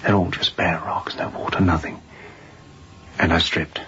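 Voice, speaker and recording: An actor playing a weary, haunted escaped seaman who confides in a hushed, halting way, as in a radio drama. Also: low voice, flat delivery, lo-fi sound, exaggerated voice. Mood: quietly confiding. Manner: hushed, breathy, halting confession.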